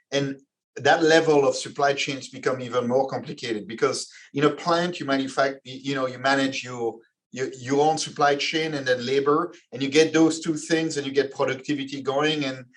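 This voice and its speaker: Guest, forties, man from Belgium, measured, restarts often. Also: mid-range voice, fairly even intonation, rough recording. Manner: measured, with frequent restarts and fillers. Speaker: guest, finance executive. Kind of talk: podcast interview answers.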